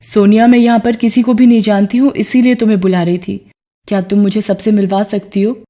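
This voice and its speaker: A woman's voice: mid-range voice, flat delivery, brisk, dark tone, close to an everyday voice. A woman voicing a meek, earnest young village girl in a dramatised moral story, speaking in a pleading way.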